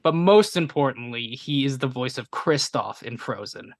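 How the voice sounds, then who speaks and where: A man's voice: medium pitch, lively intonation, moderate pace. A man co-hosting a casual podcast, a bit sniffly from a cold, rambling and laughing at himself as he reviews a movie. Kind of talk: casual chat.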